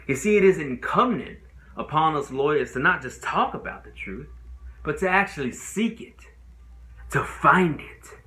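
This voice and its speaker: A man acting a young trial lawyer delivering an earnest, pleading closing argument as a dramatic monologue, with deliberate, emphatic phrasing and pauses. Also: on the high side, bright, forceful tone. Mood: earnest, questioning. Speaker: amateur actor.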